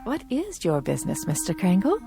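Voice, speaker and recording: Nosy, animated middle-aged landlady. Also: on the low side, fairly quick, vocal fry, over music, full character voice. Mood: nosy, indignant. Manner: folksy, huffy, plain-spoken. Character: nosy, indignant fifty-four-year-old widowed landlady. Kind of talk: radio drama acting.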